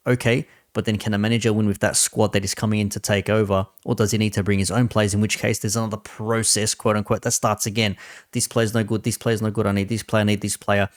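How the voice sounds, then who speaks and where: Low-voiced man, dry close sound, blunt. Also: level tone, quick pace, dry recording. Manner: blunt, sweary, conversational. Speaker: host reacting to a football debate stream. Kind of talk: casual opinionated commentary.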